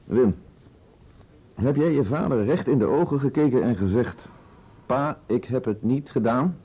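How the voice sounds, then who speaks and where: A man's voice: medium pitch, expressive, measured pace, mellow, slightly rough, poor audio. An actor playing a persistent, sceptical middle-aged senior policeman in a radio drama, probing, dry and authoritative. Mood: probing, firm.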